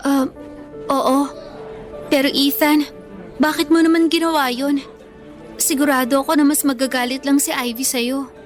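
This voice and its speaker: A woman's voice: high-pitched, fairly even intonation, normal speed, long pauses, soft background music, close to an everyday voice. A woman playing a meek, bullied schoolgirl in a Filipino radio drama, speaking in an earnest, pleading way. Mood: shy, earnest. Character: meek, hurt bullied schoolgirl who loves pocketbook romances.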